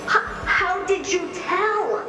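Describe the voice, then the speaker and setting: An actress voicing a sentient mirror in a video game, a squeamish, timid but cheeky sidekick with an excitable, expressive delivery and an echoing effect. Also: female voice, extremely high pitch, slow pace. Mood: nervous. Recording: echoey, distant, loud music behind.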